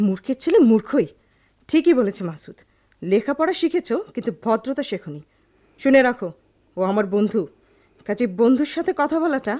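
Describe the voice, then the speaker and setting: Actress as spoiled rich young wife, fairly high voice, disdainful, discontented, theatrical radio play. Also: female voice, expressive, moderate pace, long pauses, dark tone, lightly acted. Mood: defensive, scornful. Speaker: radio drama actor. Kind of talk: melodramatic radio drama acting.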